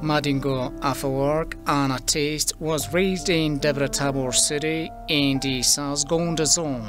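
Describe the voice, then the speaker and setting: A man reading a scripted news report in a slow, measured way. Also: fairly high pitch, fairly even intonation, over loud music.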